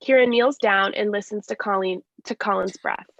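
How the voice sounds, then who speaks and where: A woman's voice: medium pitch, normal speed, a bit bright, dry recording. A woman reading scripted stage directions as narration, plainly and step by step, with slight stumbles. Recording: dry, slightly dull lo-fi sound.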